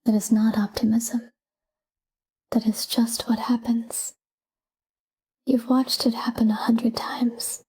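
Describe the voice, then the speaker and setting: A woman guiding a sleep meditation in a calm, hushed, breathy whisper, soothing and soft. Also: fairly high pitch, slow, pauses often.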